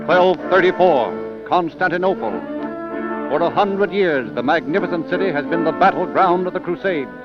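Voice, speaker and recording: A man narrating dramatic old-time radio stories in a theatrical, measured announcer manner. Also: fairly high pitch, animated. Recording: muffled old radio recording with music.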